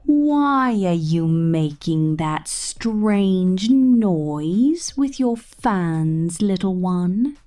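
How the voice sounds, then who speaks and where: A woman speaks slowly in a soft, low-leaning voice that often dips into breathy whispers, with wide swoops in pitch. She plays a possessive space mechanic in an ASMR roleplay, teasing and condescendingly motherly toward her robots. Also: animated. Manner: slow, breathy delivery slipping into whispers.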